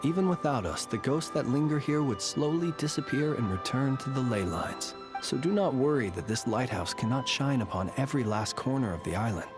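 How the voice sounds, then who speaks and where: A man plays a courtly lighthouse keeper from a fantasy game, in a mid-pitched voice. He speaks formally and with measured, old-world politeness. Music is clearly heard underneath. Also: animated, natural voice. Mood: calm, reassuring. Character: courtly, composed gentleman lighthouse keeper of haunted island. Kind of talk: video game cutscene voice acting. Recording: music behind the dialogue.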